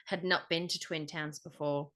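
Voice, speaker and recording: A woman's voice: low voice, steady tone, moderate pace, a bit bright. A woman in her forties with an Australian accent, co-hosting a podcast in casual, jokey, slangy chat. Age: 40s. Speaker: podcast co-host.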